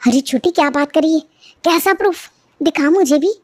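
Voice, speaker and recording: A woman performs a sensible young wife, the elder sister-in-law of a cartoon tale. Her high voice is matter-of-fact and placating, recorded very dry. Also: moderate pace, no echo, lightly acted. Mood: curious. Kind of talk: cartoon family moral story dialogue.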